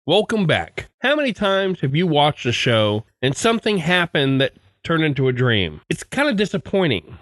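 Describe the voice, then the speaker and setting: A man's voice: fairly high pitch, sing-song, moderate pace, fairly bright tone, dry recording. A man hosting a podcast, reading a scripted sign-off in a presenter's style. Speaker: podcast host. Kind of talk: scripted podcast outro narration.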